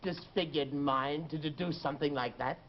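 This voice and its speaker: Actor as a cool, high-pitched male stalker. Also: lightly acted. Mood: cool, knowing. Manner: measured, sardonic, formal. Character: cool, knowing, disfigured stalker and blackmailer. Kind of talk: 1940s radio drama acting. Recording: muffled, noisy old radio recording.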